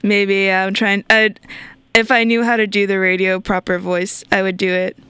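Woman, radio host, giggly, laughs a lot, smooth resonant voice, dry close sound. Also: mid-range voice, little pitch movement, moderate pace, fairly bright tone, dry recording. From not from the country of the show. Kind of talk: casual interview.

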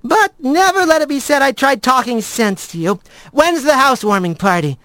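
A man's voice: very high voice, expressive, moderate pace, pushed and bright, no echo, clean studio sound, voice pushed up, exaggerated voice. A man voicing a brash, teasing young female woodland animal with a wry, casual manner in a storybook. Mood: needling. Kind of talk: animal fantasy audiobook character.

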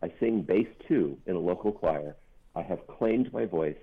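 A middle-aged non-binary author speaks with a very low, gravelly bass voice that has a husky edge. The talk is thoughtful and earnest, with pauses and restarts along the way. It comes through a narrow, phone-like line. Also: lively intonation. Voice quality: deep bass voice. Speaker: author guest. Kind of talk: reflective interview talk.

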